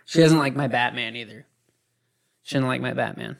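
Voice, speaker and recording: A man's voice: medium pitch, moderate pace, gravelly. A young adult man chatting casually as a podcast co-host. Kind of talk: casual chat.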